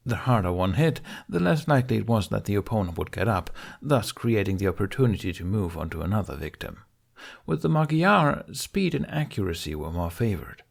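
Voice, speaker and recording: A man's voice: lowish voice, creaky, darkish tone. A man reading a fantasy adventure story aloud as a scripted audiobook narration.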